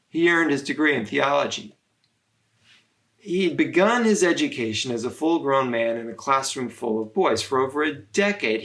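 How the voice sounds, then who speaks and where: A man with a medium-pitched voice and noticeable fry narrates a storybook for children. He phrases deliberately and expressively, leaving pauses as he goes. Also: fairly bright tone. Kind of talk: read-aloud storytelling narration.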